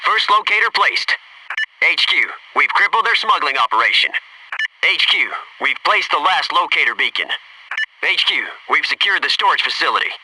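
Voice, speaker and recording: A man's voice: a little high, far from the mic, noisy background, close to an everyday voice. A man playing a disciplined, alert American Navy SEAL squad mate in a military video game, giving clipped, businesslike tactical reports.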